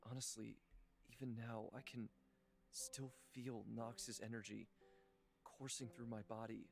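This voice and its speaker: Man playing a weary, haunted immortal healer, soft and halting. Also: mid-range voice, creaky. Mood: weary, candid. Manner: slow, halting, soft-spoken confiding. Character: weary male immortal healer, gentle, haunted by old torture. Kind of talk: dramatic visual novel voice acting. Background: background music.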